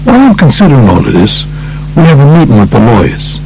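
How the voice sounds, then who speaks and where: An older man with a gravelly voice reads a true crime book as a scripted audiobook narrator. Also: mid-range voice, huge pitch swings, quick pace, darkish tone, faint music behind, low-quality recording.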